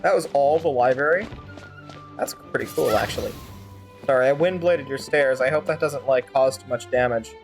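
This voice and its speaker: Animated game streamer with music behind. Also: medium pitch, moderate pace. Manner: animated, rambling, sardonic asides. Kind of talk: playthrough commentary with fan-dub character reading.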